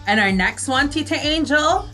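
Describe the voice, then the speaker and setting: Female radio co-host, fairly high pitch, casual and explanatory, faint music bed. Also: lively intonation, normal speed, bright, forceful tone. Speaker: radio talk show co-host.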